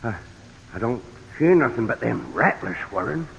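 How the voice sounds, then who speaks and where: An actor playing a folksy, grumbling older cowboy sidekick with a drawling American Western accent in a radio drama. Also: male voice, mid-range voice, big pitch swings, normal speed, gravelly. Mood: alarmed. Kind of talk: old-time western radio drama acting. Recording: echoing, dull, noisy vintage radio recording.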